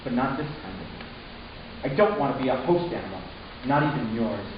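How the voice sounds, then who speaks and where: A man playing a troubled, resentful human youth in a dramatic first-person story reading, halting and intense with deliberate pauses. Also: mid-range voice, expressive, natural voice. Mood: defiant, tense. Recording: distant, very echoey room, muffled, hissy.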